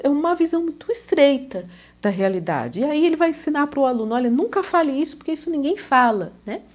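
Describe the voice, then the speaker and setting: A woman's voice: a little high, darkish tone. A Brazilian woman with a São Paulo accent, a language teacher talking casually to camera, often ending phrases with a questioning tag. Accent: São Paulo Brazilian Portuguese. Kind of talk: casual video lesson to camera.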